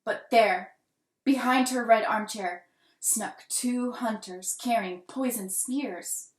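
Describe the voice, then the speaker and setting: A woman reading a children's picture book aloud with deliberate, dramatic emphasis and expressive delivery. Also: a little high. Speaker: children's storybook reader. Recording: roomy, echoing room sound.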